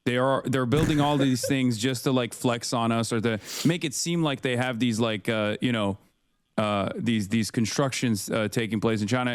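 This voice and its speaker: A man's voice: mid-range voice, clean tone, no echo, studio quality. A man in his thirties from Turkey, talking loosely in a podcast conversation with many fillers and restarts. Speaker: political commentator, guest.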